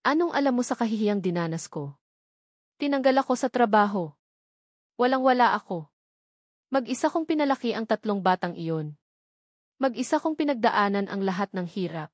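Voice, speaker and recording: A woman's voice: medium pitch, expressive, moderate pace, pauses often, no echo, studio quality, lightly acted. Woman acting a defensive, emotional single mother. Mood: anguished, resentful. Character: frightened, defensive young single mother confronting former lover.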